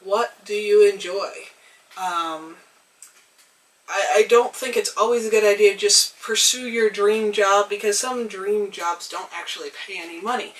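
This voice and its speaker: A young woman getting over a cold, her voice a little hoarse, talking thoughtfully to the camera with frequent pauses and ums. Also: medium pitch, fairly even intonation, a bit bright, far from the mic, poor audio. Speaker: vlogger. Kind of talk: reflective casual talk to camera. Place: tiny house.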